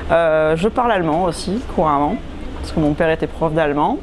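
This woman in her forties speaks in a somewhat low voice. She talks in a lively way but often stops to hesitate. A lapel mic records her in a greenhouse, with faint noise behind her. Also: fairly quick. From England, West Yorkshire. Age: 40s. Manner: lively, hesitant, with many fillers. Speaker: fashion designer and knitter. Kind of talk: casual interview answers. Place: glass greenhouse, indoors.

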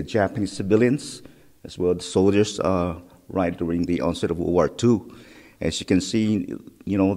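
A middle-aged man explaining a site, speaking haltingly with many fillers, recorded inside a cave. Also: sits low, dark tone. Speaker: historic preservation officer. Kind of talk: on-site interview. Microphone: handheld mic held close. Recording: long cave reverb.

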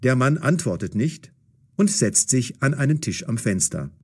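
Male narrator, audio story for learners, clearly articulated, animated melody. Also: medium pitch, moderate pace.

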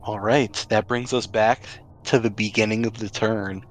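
A man's voice: lowish voice, fairly even intonation, moderate pace, poor audio. A man speaking casually as game master, narrating and refereeing a tabletop game with jokey, profane asides.

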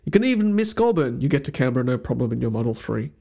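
A man's voice: medium pitch, sing-song, quick pace, creaky, mellow. A young man giving an upbeat, methodical voice-over, listing tips by number as the host of his channel. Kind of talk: voice-over explaining a map with slides.

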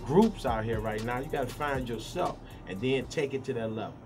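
A man with a Detroit accent lays out advice with emphatic conviction. Clear music runs under his voice. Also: medium pitch, moderate pace. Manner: advising, emphatic. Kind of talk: candid interview talk.

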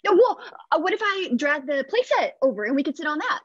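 A woman playing an eager, awkward, apologetic new mother, gushing and halting, in a comedic play reading with a husky voice. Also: high-pitched, big pitch swings, no echo. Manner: nervous, gushing, halting self-corrections. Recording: muffled video call audio.

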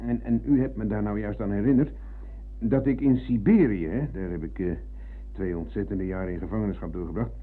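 An actor playing a forgetful old war veteran in a radio play, husky and halting as he rambles. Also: on the low side, close to an everyday voice. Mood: reminiscing. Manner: hesitant, halting, peppering talk with tag questions. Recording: thin, phone-like old radio recording.

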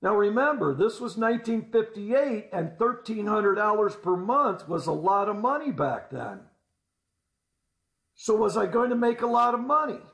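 High-pitched man narrating in a roomy space. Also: sing-song, normal speed, creaky, fairly bright tone. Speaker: storyteller. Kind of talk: scripted first-person story narration. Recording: roomy, echoing voice-over.

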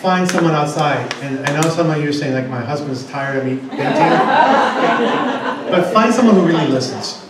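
A male presenter speaks into a handheld mic in a hotel conference room, with a slightly high voice. He chats and jokes with the audience as he leads a workshop. The camera is far off in a very echoey room, with audience murmur behind him. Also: expressive, moderate pace, over a noisy crowd. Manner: chatty, joking with the audience. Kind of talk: interactive seminar talk.

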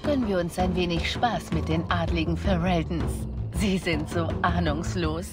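Woman playing a low-voiced, seductive spy. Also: moderate pace, over loud music, natural voice. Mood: playful. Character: seductive, confident female bard spy, playful and scheming. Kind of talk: video game voice acting.

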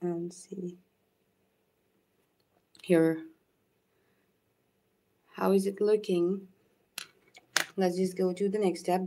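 A woman whose first language is Urdu, gently talking viewers through a nail art demonstration and thanking them by name. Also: on the low side. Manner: soft-spoken, unhurried, thanks viewers by name. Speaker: nail art tutorial host. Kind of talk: live nail art demonstration with chat shout-outs.

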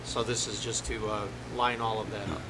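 A middle-aged man speaking haltingly as a village attorney, formally explaining ordinances at a board meeting. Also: steady tone. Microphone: desk microphone on the dais. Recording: noisy meeting room with ventilation rumble.

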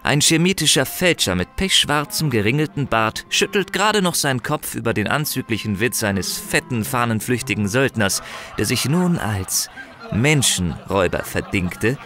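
A man's voice: medium pitch, expressive, normal speed, sharp tone, soft background music. A man narrating a fantasy audio drama in a theatrical, conversational storytelling style with musing asides.

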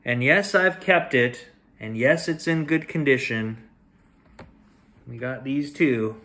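A man in his forties reading a children's picture book aloud as a storyteller, deliberate and expressive. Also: medium pitch. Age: 40s. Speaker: storyteller reading bedtime stories. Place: small home room with shelves, indoors.

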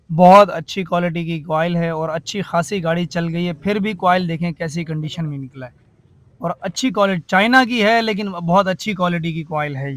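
A man's voice: a little high, a bit bright, dry recording. A man, a car AC mechanic, walks through a repair step by step and keeps saying "theek hai" and "ye dekhiye". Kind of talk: workshop tutorial.

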